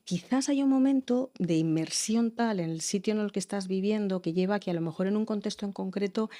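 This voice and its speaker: A woman's voice: medium pitch, brisk, dark tone. A woman from Spain explaining child bilingualism in an interview, thoughtful and hesitant with restarts. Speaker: education expert guest.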